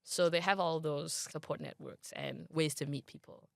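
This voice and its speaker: Creaky-voiced young Malaysian woman. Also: low voice, fairly even intonation, normal speed, dry recording, clean studio sound. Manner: chatty, many fillers, thinks aloud. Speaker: interview guest. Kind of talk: casual interview.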